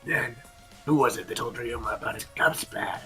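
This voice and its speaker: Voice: medium pitch, normal speed, bright, forceful tone, lightly acted. A performer in an amateur game fan dub voicing an inquisitive young classmate who presses questions. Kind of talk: fan dub of an anime detective game, joking asides. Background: background music.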